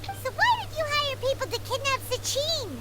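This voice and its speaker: A woman voicing a small, childlike, chirpy and jittery fairy companion in a video game, squeaky and inquisitive. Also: animated, normal speed, background noise. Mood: curious. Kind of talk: English video game voice acting. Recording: loud game music behind.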